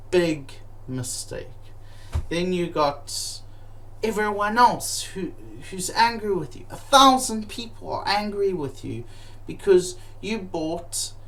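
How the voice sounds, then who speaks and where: A man telling comic stories about his travels, animated and profane, with many fillers and restarts. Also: high voice. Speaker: comedic storyteller.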